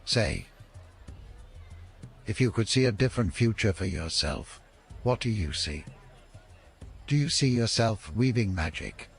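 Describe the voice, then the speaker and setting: Casting: grave fantasy wanderer, gravelly and unhurried, mysterious, solemn, for an audio role-playing game. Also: male voice, lowish voice, animated, faint music behind. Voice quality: gravelly, husky. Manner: slow, solemn, portentous. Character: cloaked mystical seeker, a grave old-sounding male stranger.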